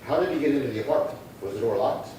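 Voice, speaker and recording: A man's voice: mid-range voice, lively intonation, very fast, mellow, natural voice. A man acting a terse, courteous police detective in a 1950s-style radio crime drama, asking clipped, matter-of-fact questions. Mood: patient, dry. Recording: very echoey, distant, faint noise.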